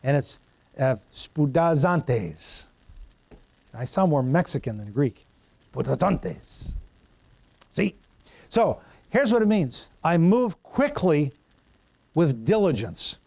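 A middle-aged male pastor with a slightly higher voice delivers a folksy, conversational sermon. He trips over Greek words and drifts into homely stories. The sound is dry and close. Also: big pitch swings, slow, vocal fry, long pauses, darkish tone, no echo.

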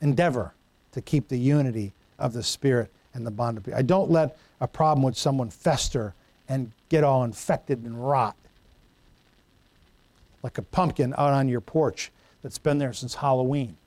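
A man's voice: mid-range voice, moderate pace, a little mellow, dry recording. A middle-aged man preaching a sermon in a conversational, folksy way, stumbling over Greek words and telling homely stories. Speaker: pastor.

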